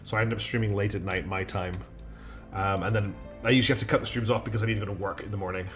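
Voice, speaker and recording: A man's voice: lowish voice, fairly even intonation, rapid-fire, fairly bright tone, soft background music. A man streaming a strategy game live. He thinks aloud in a chatty way, with many ums and restarts, and his nose is slightly blocked.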